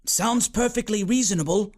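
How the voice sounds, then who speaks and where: A polite, earnest young boy who tries to reason calmly, as a man reading a story aloud puts him on. The voice is light and fairly high, close to the reader's own. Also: normal speed.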